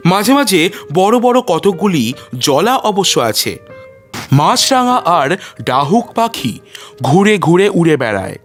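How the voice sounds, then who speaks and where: A male storyteller reads scripted fiction in a high-pitched voice. His intonation swings widely, with dramatic emphasis on measured literary phrases. Music plays behind the narration.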